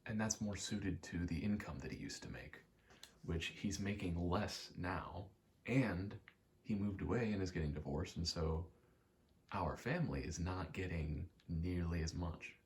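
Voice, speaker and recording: A young adult man in a quiet, casual ASMR-style ramble, speaking candidly and hesitantly, often trailing off. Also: sits low, measured pace. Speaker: video maker.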